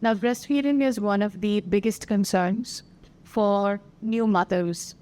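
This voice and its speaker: A woman hosting a podcast, reading her introduction and questions in a smooth, clear way. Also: mid-range voice, takes it slowly, darkish tone. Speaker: podcast host. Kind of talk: scripted interview questions.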